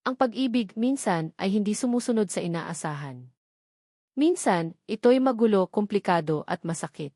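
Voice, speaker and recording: An earnest woman narrates a romantic story with gentle feeling and expressive phrasing. Her voice sits at a middle pitch. The recording is close and dry, with no room sound. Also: no room sound. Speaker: storyteller and author.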